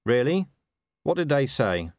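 A man's voice: medium pitch, sing-song, a little slow, a little mellow, dry recording, voice pushed up. Man doing a dry, sardonic watch commander. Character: weary, dry-witted male watch commander.

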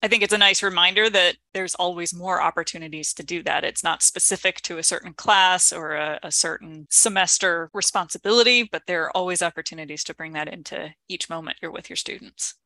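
Lady cohosting a teaching podcast, really bright voice, super dry sound, thoughtful and supportive, chatty but articulate. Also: lowish voice, fairly quick, hardly any pauses, no echo. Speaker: podcast co-host and educator. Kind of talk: conversational teaching-tips discussion.

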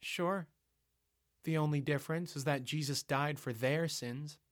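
A man putting on a soft, lilting ghostly woman. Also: on the high side, measured pace, close to an everyday voice. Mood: eerie. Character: ghostly haunting young woman.

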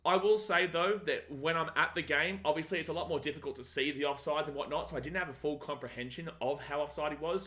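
Bright-voiced, passionate fan host. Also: male voice, fairly high pitch. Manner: passionate, fired-up fan opinion. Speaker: podcast co-host. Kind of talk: casual sports podcast chat.

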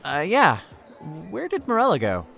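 A man's voice: high voice, huge pitch swings, normal speed, creaky, loud music behind the voice, heavily acted. An actor playing a carefree, caring young man in an audio drama, with a hesitant, earnest way of talking. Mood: easygoing. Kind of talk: naturalistic audio drama dialogue.

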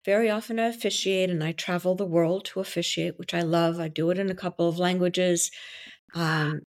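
Belgian-born woman with French as her first language, lower voice, confident and theatrical, a wedding officiant talking about her craft. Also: brisk. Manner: expressive, theatrical delivery; confident, enthusiastic explaining. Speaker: wedding vow coach and officiant. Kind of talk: podcast interview talk.

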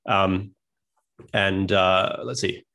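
A man's voice: sits low, level tone, drawn-out words, bright, forceful tone. A man in his thirties with an Australian accent, giving a friendly, self-deprecating slide presentation as a guest physicist over a video call.

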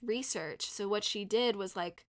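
A young woman in her twenties from North India, chatting casually about a film and often acting out quotes in a playful voice. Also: medium pitch. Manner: animated, quick to agree, acts out quotes in a playful voice.

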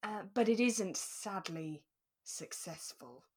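A woman's voice: medium pitch, animated, takes it slowly, husky. A woman chatting casually as a podcast co-host, often laughing as she talks. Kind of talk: casual chat about a radio drama.

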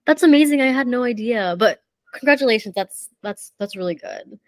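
A woman's voice: on the high side, animated, a little fast, no echo. Woman asking interview questions kinda hesitantly, dry close mic. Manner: tentative, searching questions. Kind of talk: casual interview.

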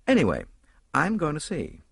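A man voicing a vain, defiant female film star with a sharp, dismissive manner. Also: fairly high pitch, huge pitch swings, normal speed, vocal fry, slightly rough, dry recording, clean studio sound, voice pushed up, exaggerated voice.

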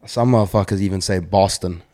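Swearing South African man, low voice. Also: fairly quick, warm tone. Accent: South African with American twang. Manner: swears a lot, loose rambling talk. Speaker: guest. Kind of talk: casual chat.

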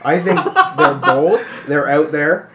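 A man's voice: fairly high pitch, slow. A man in his twenties chatting casually with friends, telling jokey, sweary anecdotes. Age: 20s. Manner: jokey storytelling with profanity. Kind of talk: casual group chat. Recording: roomy echo, some background noise.

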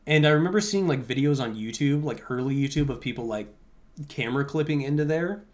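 A young adult man with a mid-pitched voice talks as a podcast host. His delivery is loose and conversational, wandering through his thoughts as he answers listener questions. He leans on "like" constantly, keeping it very informal. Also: fairly quick. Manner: informal, conversational, heavy use of "like".

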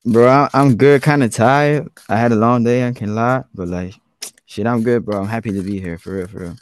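A man's voice: medium pitch, steady tone, brisk. A young man from Switzerland, a guest artist, chatting casually in a laid-back way and dropping "bro" into nearly every sentence. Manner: laid-back slang, says "bro" often. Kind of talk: casual interview chat.

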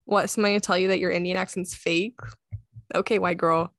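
A woman's voice: lowish voice, level tone, brisk, no echo. Wry young woman with a Southern accent. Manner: wry, teasing, offhand. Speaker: podcast co-host. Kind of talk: casual chat. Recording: dry, close sound.

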